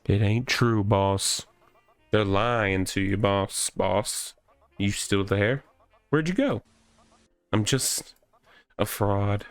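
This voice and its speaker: A man's voice: fairly low pitch, smooth. A man voicing a needy, self-pitying police officer from a visual novel, stammering and repeating himself. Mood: pleading. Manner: halting, stammering, repetitive.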